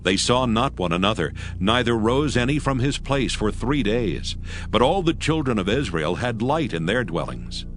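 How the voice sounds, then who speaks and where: A man narrating a dramatized Bible reading in a steady, measured way. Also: medium pitch. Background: music.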